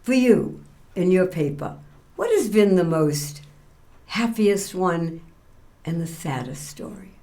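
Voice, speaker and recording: A woman's voice: fairly low pitch, big pitch swings, slow pace, pauses often, mellow. A woman in her late eighties interviewing guests in a conversational style as a show host.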